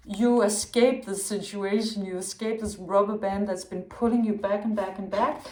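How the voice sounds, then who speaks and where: A woman's voice: mid-range voice, darkish tone, roomy sound. Woman, rambling tarot reading. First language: Danish. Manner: rambling, searching for words with many restarts. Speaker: tarot reader. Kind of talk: intuitive tarot reading monologue.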